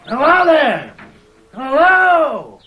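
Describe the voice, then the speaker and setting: A man's voice: very high-pitched, wildly swooping pitch, lo-fi sound, heavily acted. An actor playing a jolly, effusive old country uncle in a radio drama, exclaiming and drawing out his words. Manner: chuckling, drawn-out, exclaiming.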